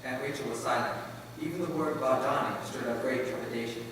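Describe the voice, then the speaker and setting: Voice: medium pitch, fairly even intonation, fairly quick, a bit bright, far from the mic, natural voice. A performer playing a missionary pilot's earnest young son in a readers theater piece. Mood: earnest, reflective. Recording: very echoey hall from afar, noisy.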